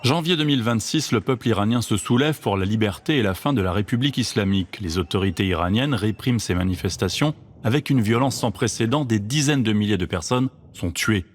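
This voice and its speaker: A man reading a scripted documentary voice-over in a measured, even way. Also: on the low side, slightly rough. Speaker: documentary narrator.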